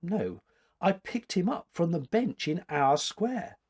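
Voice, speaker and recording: A man's voice: high voice, sing-song, takes it slowly, darkish tone, slightly rough, pitched above the performer's own voice, exaggerated voice. A man voicing an earnest, self-doubting young woman in a storybook, with a pleading manner. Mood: curious.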